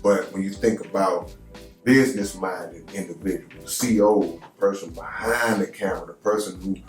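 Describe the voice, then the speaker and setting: A man's voice: low voice, moderate pace, echoey room, soft background music, low-quality recording. A man hosting a casual podcast interview, effusive and repeating "man" often. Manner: effusive, keeps saying "man". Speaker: podcast host.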